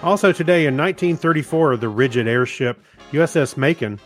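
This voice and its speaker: A man's voice: on the high side, brisk, soft background music. A man co-hosting a podcast, reading notes and trivia and trading wry, casual banter. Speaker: podcast co-host. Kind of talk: casual chat with listener feedback and trivia.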